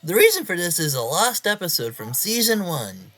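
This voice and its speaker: A woman's voice: lowish voice, big pitch swings, moderate pace, a bit bright. A woman reading a scripted horror story aloud as its narrator.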